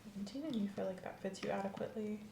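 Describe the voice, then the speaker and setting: A woman's voice: medium pitch, very fast, creaky, reverberant, background noise. A woman doing an ASMR medical exam roleplay as an examiner, methodical and professional in the way she questions a patient.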